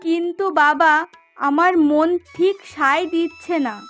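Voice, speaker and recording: A woman acting as a very high-voiced young village girl in a cartoon fable. She is a caring, earnest daughter who tends to fret, with music playing behind her. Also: fairly even intonation, a little slow, a bit bright. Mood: anxious. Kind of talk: Bengali cartoon moral story voice acting.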